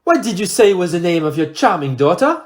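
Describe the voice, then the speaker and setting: A performer playing a courteous, shrewd Belgian male detective with a French-speaking Belgian accent, husky voice and formal, theatrical manner in an audio play. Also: medium pitch, big pitch swings, brisk. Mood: excited. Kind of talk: amateur audio play acting.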